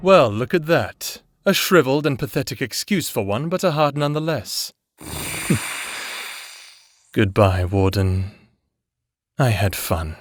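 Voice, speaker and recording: An actor playing a vengeful, sadistic necromancer in an audio drama, taunting and gloating in a theatrical way. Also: male voice, mid-range voice, animated, measured pace, long pauses. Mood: quiet menace. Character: vengeful male necromancer, manic, gleefully sadistic escaped prisoner. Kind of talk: dramatic audio roleplay acting.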